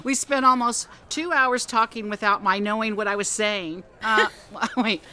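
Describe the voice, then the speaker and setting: A woman's voice: mid-range voice, a little slow, bright, forceful tone. An actress playing a sassy, wisecracking woman podcast host in a contemporary radio drama, with a wry, quippy delivery. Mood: amused.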